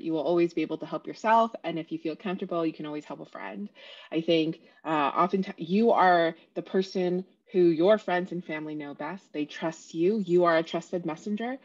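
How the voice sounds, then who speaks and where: A young woman on a panel over a video call, speaking earnestly and encouragingly, laughing now and then. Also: lowish voice. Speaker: panelist, civic engagement organizer. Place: indoor room on video call. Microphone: headset. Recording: slightly dull video call audio.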